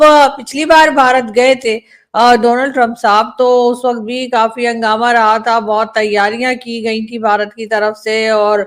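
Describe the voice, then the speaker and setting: A woman with a Pakistani accent giving wordy, explanatory political commentary to viewers, mixing in English words. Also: on the high side. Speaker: news commentator.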